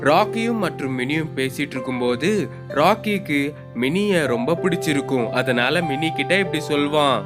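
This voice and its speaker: A man's voice: a little high, animated, normal speed. A man narrating a scripted moral story for children. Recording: loud guitar music behind.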